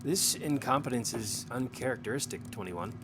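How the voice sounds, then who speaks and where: A man's voice: medium pitch, moderate pace, natural voice. Man playing a stern, menacing interrogator, humming recording. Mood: veiled threat. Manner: clipped, pointed, quietly menacing questioning. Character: stern, suspicious male superior interrogating a subordinate. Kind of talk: audio drama acting. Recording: noticeable background hum and noise.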